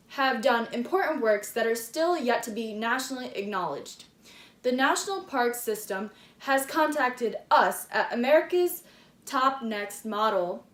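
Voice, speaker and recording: A woman's voice: a little high, fairly bright tone. A woman hosting a mock game show for a school project, with a showy announcer delivery, in a roomy echo.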